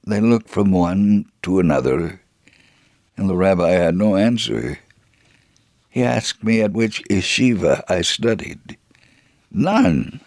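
A male storyteller reads a scripted first-person account at a measured, slower pace. His voice is low with some creak, and his delivery swings widely as he acts out each exchange. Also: no room sound, studio quality. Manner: expressive, acting out quoted dialogue. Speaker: narrator, reader of a radio story segment.

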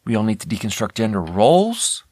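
An American man with a fairly low voice talks casually as a solo podcast host. He rambles candidly, breaking off and restarting his own sentences, in a dry recording with little room sound. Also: huge pitch swings, a little fast, a little mellow, no echo. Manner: candid, conversational, self-interrupting.

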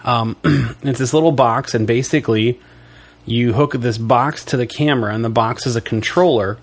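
A man's voice: mid-range voice, moderate pace. A man co-hosting a casual podcast, wheezy from allergies, joking and chuckling as he rambles through explanations. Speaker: podcast co-host.

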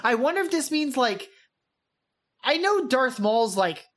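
A young woman co-hosting a podcast, her voice rising and falling a lot as she talks. She chats casually and quickly, throwing in frequent asides. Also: high voice. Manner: chatty, animated, quick asides. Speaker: podcast co-host. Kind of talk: casual chat.

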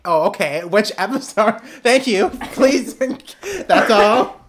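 A woman's voice: mid-range voice, lively intonation, slow pace, noisy background. A young woman co-hosting a casual podcast, often laughing and talking with many fillers and restarts. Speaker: podcast co-host. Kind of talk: casual chat.

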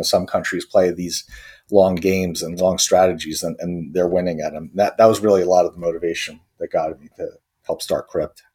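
Really low voiced guy from croatia, thoughtful, lots of uhs and restarts. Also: a little mellow, slightly rough. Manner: thoughtful, many fillers and restarts, earnest. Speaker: company co-founder and technology chief. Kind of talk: interview answers.

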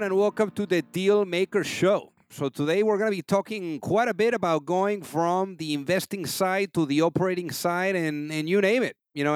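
A man hosts an interview show in a smooth, resonant voice pitched a little high. He asks loose, rambling questions full of fillers, and the recording is dry and close. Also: no room sound. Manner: relaxed, filler-heavy questions. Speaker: podcast host. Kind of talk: casual interview, reads sponsor ad.

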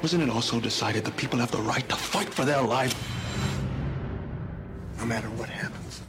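A man's voice: medium pitch, moderate pace, lightly acted. An actor playing a grave, urgent man in a disaster film, delivering dramatic trailer dialogue. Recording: film clip under loud music.

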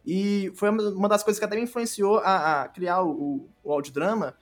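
A young Brazilian man chatting casually as an interview guest, informal and halting, often repeating words. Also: high voice, steady tone, soft background music. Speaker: podcast guest, audio drama creator.

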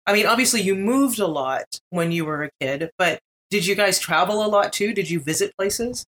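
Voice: mid-range voice, animated. Encouraging podcast host. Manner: warm, encouraging questions with quick affirmations. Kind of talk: casual interview.

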